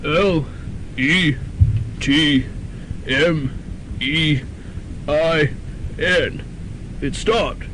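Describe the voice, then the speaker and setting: A man's voice: a little high, extremely slow, pauses often, fairly bright tone, a little hiss or hum, low-quality recording. A young man playing a laid-back, protective college boyfriend, laconic and casual, in a radio comedy. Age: young adult. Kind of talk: 1990s student radio comedy drama.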